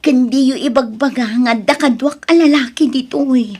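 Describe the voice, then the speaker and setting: A woman's voice: high-pitched, moderate pace, background noise, lightly acted. A young woman playing a remorseful daughter with a secret, speaking in a hesitant, pleading way in an audio drama. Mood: nervous, evasive.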